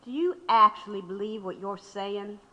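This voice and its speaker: A woman's voice: mid-range voice, normal speed, bright, forceful tone, big-room sound, dull audio, close to an everyday voice. An actress playing a sardonic, unyielding older woman labor radical under questioning in an audio drama.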